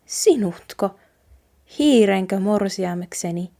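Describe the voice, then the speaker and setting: Woman reading gloomy young man, mellow tone. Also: medium pitch, expressive, vocal fry. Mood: astonished. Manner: downcast, hesitant.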